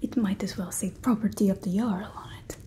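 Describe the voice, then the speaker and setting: A young woman playing a stern, mocking fantasy guard in a breathy whispered ASMR roleplay, suspicious and sarcastic. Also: medium pitch, fairly even intonation, brisk, mellow, a little hiss or hum, lightly acted. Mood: suspicious, sardonic. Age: 20s.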